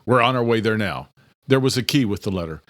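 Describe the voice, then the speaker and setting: A grimly resolute, protective brother voiced by a male narrator, low and very dry. He is a thriller audiobook hero who speaks in terse, firm commands. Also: animated, fast talker, fairly bright tone, no room sound. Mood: tense. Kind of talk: horror thriller audiobook character.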